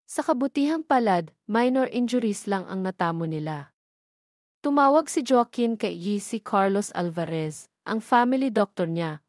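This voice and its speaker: A woman narrating a scripted love story in a steady, even reading voice. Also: medium pitch, no echo, studio quality.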